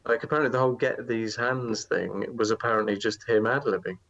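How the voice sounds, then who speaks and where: Lowish voiced guy on a podcast giving his takes, sound's kinda muffled. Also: brisk, fairly bright tone. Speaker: podcast guest. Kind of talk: casual wrestling podcast discussion.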